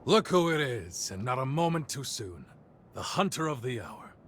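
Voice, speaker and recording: An actor playing a cocky, thrill-seeking fighter in a game cutscene, exuberant and theatrical. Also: male voice, medium pitch, very animated, normal speed, a bit bright, slight background noise. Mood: boisterous. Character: brash, thrill-seeking warrior buddy, boisterous and cocky. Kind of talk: dramatic game cutscene dialogue.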